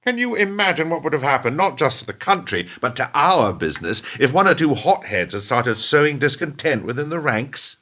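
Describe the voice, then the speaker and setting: A man's voice: a little high, very animated, a little fast, hardly any pauses, sharp tone. A man playing a defensive, hard-nosed older company boss in a radio play, arguing emphatically. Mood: indignant. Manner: argumentative, exasperated, emphatic. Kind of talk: radio play acting, dramatic dialogue.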